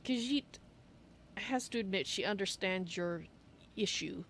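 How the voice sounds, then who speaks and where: A woman's voice: medium pitch, a little slow, a bit bright. Woman voicing a courteous cat-folk mage, faint noise. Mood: earnest. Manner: speaks of herself in third person. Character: female Khajiit cat-folk archmage, courteous, third-person speech. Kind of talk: in-character game roleplay on a livestream. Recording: faint noise and game ambience.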